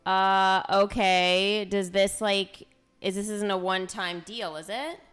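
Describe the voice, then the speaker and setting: A woman game streamer giving casual, unhurried commentary, thinking aloud as she plays. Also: mid-range voice, fairly even intonation, a bit bright, clean tone, faint music behind.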